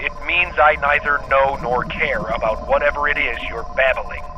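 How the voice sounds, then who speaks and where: A man's voice: mid-range voice, normal speed. A man playing a blunt, impatient starship captain over an intercom in a sci-fi audio drama, curt and sarcastic. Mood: sardonic, impatient. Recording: muffled comm channel, noisy ambience.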